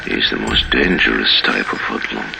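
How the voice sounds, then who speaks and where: An actor playing a gruff, watchful lawman with a gravelly voice, talking tersely in a video game audio diary. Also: rumbling low, moderate pace, heavy background noise, exaggerated voice. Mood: wary, grudging. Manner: terse, hard-boiled.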